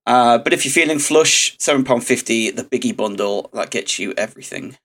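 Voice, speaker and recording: A man co-hosting a podcast, his voice croaky, talking hesitantly with many ums and uhs. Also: medium pitch, brisk, a bit bright. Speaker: podcast co-host. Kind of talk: casual chat.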